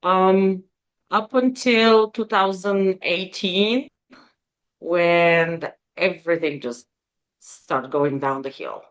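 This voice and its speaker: A woman in her forties with a Bulgarian accent, a guest telling her story in an interview, hesitant with many pauses. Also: fairly low pitch. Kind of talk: interview conversation.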